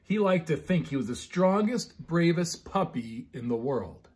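A man's voice: on the high side, animated, a little slow, darkish tone, a little hiss or hum. A middle-aged American man, a pastor chatting casually and reading children's bedtime stories expressively. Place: living room at home, indoors.

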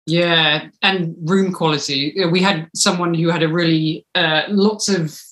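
A woman's voice: low-pitched, steady tone, unhurried, a bit bright. A woman in her thirties with a British accent, chatting casually and animatedly as an interview guest, sometimes acting out quotes. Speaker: interview guest, podcast creator. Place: small home room, indoors. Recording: roomy, echoing home video call.

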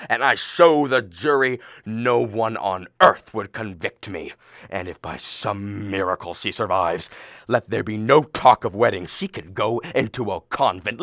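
A man's voice: mid-range voice, pushed and bright, dry recording, studio quality. A man playing an exasperated middle-aged father of the bride in a radio play, ranting with angry sarcasm.

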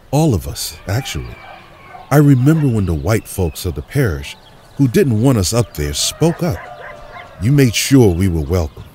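Humble young priest, lowish voice. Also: male voice, a little mellow, a little hiss or hum. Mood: grateful. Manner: reverent, halting, sincere. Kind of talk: audio drama acting.

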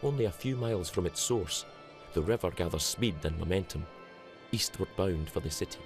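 A man narrates a nature documentary in a steady, descriptive voice. His tone is very deep. Clear music plays behind him. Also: fairly quick.

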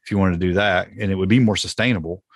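A man's voice: low voice, racing, no echo, studio quality. A man hosting a podcast, chatting casually in a folksy way as he explains things off the cuff. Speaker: podcast host. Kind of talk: casual chat about home gardening.

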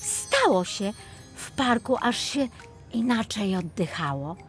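A woman narrating a scripted fairy tale for children in an expressive storytelling style. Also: mid-range voice, slow pace. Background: music.

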